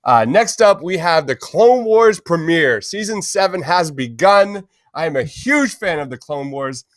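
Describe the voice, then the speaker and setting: A man's voice: fairly high pitch, expressive, unhurried, no room sound. A man hosting a casual pop-culture podcast, talking informally and enthusiastically with plenty of slang. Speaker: podcast host.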